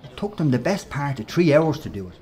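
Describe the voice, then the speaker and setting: An actor with a Dublin accent playing a brash, mocking workplace bully in a radio play, talking in slangy, sneering bursts. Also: male voice, on the high side, sing-song, a little fast, dark tone, breathy edge. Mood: smug. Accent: Irish, Dublin.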